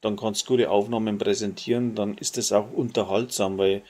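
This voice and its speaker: A man from Bavaria with a Bavarian accent, chatting casually and colloquially as he streams a video game, softening his dialect toward standard German. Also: fairly low pitch, steady tone, a little fast. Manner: colloquial, dialect-tinged, mild swearing. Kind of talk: casual chat while gaming.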